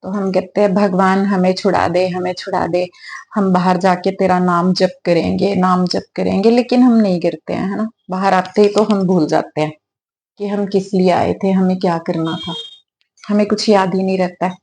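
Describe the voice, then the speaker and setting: A woman with a slightly hoarse throat, clearing it now and then, talking gently and affectionately in a casual devotional vlog at her home altar. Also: lowish voice, fairly even intonation, normal speed, a little hiss or hum. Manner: gentle, affectionate, preachy at times. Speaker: devotional vlogger.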